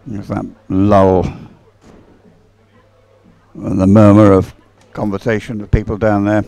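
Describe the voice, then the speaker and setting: British man, lowish voice, live race commentator, unscripted, hesitant, rambling and observational. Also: little pitch movement, takes it slowly, vocal fry, warm tone, no echo. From United Kingdom. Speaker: sports commentator.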